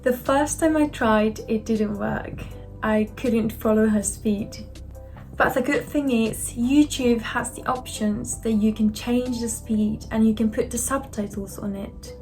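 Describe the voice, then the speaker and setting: A woman in her twenties from Japan, a native Japanese speaker with a Japanese accent, presenting a scripted explainer as a language vlogger in simple, deliberate, slightly non-native phrasing. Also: fairly high pitch, steady tone, a little mellow, soft background music. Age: 20s.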